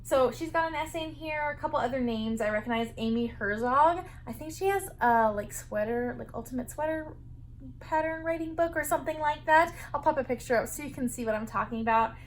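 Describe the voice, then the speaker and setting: Chatty twenty-something woman vlogging from bed, kinda high voice, faint hiss behind. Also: brisk. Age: 20s. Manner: chatty, lots of "like" fillers. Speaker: knitting book vlogger. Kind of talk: casual reading vlog. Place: bedroom, sitting up in bed.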